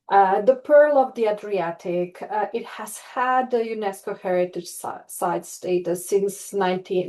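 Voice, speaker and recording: A woman from Croatia presents research in a webinar over a video call. Her voice is mellow and dark-toned. She stops often for fillers and restarts phrases as she builds her points. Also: mid-range voice, poor audio. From Istria, Croatia. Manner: hesitant, many fillers and restarts. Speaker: webinar speaker, tourism researcher. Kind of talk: online presentation.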